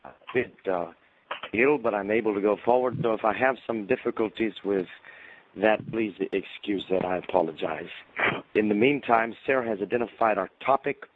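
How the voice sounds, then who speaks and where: A man presenting a marketing research webinar, explaining in a measured way while he is losing his voice. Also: fairly low pitch, a little hiss or hum. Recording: tinny phone-line audio.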